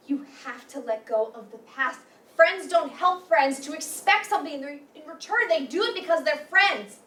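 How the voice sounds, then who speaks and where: A woman's voice: sits high, expressive, moderate pace, a bit bright. A young woman playing an outspoken, exasperated girlfriend in a live staged reading. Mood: defensive. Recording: roomy, distant mic with faint noise.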